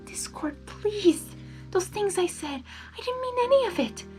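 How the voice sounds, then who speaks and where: Woman playing a timid female storybook pony, very high voice, hesitant, gentle, pleading. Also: lively intonation, a little slow, faint music behind, low-quality recording.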